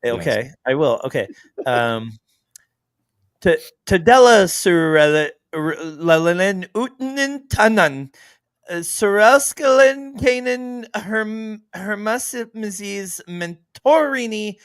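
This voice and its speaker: A man's voice: sits high, expressive, slow pace, clear, resonant tone, no room sound. Guy hosting a hockey podcast, laughs a ton, swears some, dry close mic. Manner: laughs often, joking, swears casually. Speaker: podcast host. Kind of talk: casual sports podcast chat.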